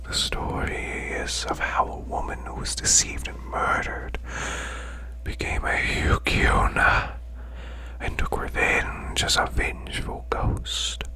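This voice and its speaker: A performer voicing a male demon boss who tells bedtime tales in ASMR style, in a hushed, breathy, growling whisper with slow, deliberate delivery. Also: rough recording. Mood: hushed, eerie.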